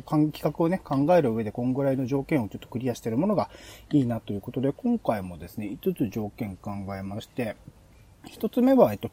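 A man hosting a podcast, casually explaining planning ideas to a co-host in an explanatory way with soft, trailing phrases. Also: mid-range voice, breathy edge, no echo.

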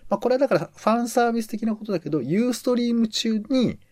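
A man's voice: very high-pitched, animated, fast, darkish tone, dry recording, clean studio sound. A man co-hosting a casual radio-review podcast, reflectively piecing his thoughts together in short phrases. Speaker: podcast co-host. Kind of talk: casual discussion of radio shows.

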